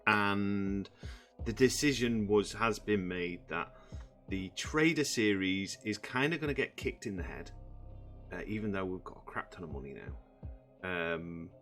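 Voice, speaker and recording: A man, croaky from just waking up, rambling through casual gameplay commentary with many fillers. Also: lowish voice, measured pace, a bit bright, soft background music. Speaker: gaming channel host.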